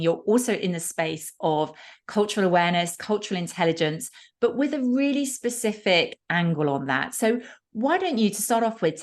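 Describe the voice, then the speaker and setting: Enthusiastic woman podcast host, slightly low voice. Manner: enthusiastic, effusive. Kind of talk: podcast interview hosting.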